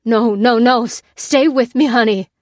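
A woman's voice: mid-range voice, fairly even intonation, normal speed, darkish tone, no echo, clean studio sound, close to an everyday voice. A woman voicing a distraught farmer husband in an audiobook, pleading in a trembling, choked voice. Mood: desperate, pleading.

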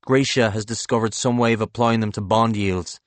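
A man voicing a brash, foul-mouthed, excitable male banker in an audiobook, talking emphatically and impatiently like an evangelist for his schemes. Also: fairly low pitch, fairly quick, no echo, natural voice. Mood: eager, impatient. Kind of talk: audiobook character dialogue.

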